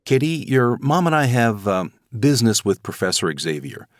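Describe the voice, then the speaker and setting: A man's voice: medium pitch, sing-song, normal speed, no room sound, clean studio sound, close to an everyday voice. A man playing a genial, hospitable middle-aged father with polite manners in an audio drama. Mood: welcoming.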